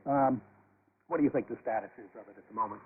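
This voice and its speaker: A man moderating an unscripted news panel in a conversational, halting way, heard over shortwave radio. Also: lowish voice, husky. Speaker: host and moderator. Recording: narrow, noisy shortwave radio reception.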